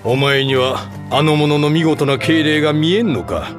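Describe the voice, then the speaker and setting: A man playing a stern, composed old army commander in an anime game, delivering deliberate, commanding oratory. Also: on the high side, natural voice. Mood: wry, calm. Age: older. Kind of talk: dramatic anime game acting. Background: music.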